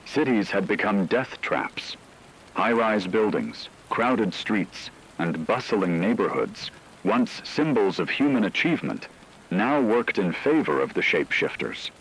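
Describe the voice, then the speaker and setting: A man reading scripted, documentary-style dramatic narration in a measured, solemn way. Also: fairly low pitch, lively intonation, fairly bright tone, husky, rough recording.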